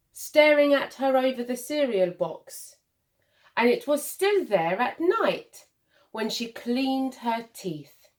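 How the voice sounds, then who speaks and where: Slow, animated woman reading a children's storybook. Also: on the high side. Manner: deliberate, dramatic pauses and emphasis for young listeners. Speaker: primary school teacher reading a picture book.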